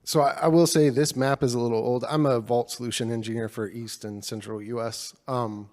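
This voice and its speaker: A man's voice: mid-range voice, fairly even intonation, fairly quick, a little mellow. A man, a solution engineer, answering audience questions casually at a conference session. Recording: long reverb of a conference hall.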